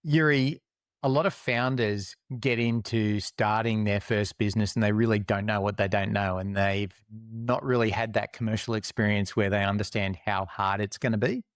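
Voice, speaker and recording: A man's voice: lowish voice, steady tone, moderate pace, clean tone, no room sound, clean studio sound. An Australian man hosting a podcast, introducing the show and interviewing a guest in an upbeat, enthusiastic way. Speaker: podcast host.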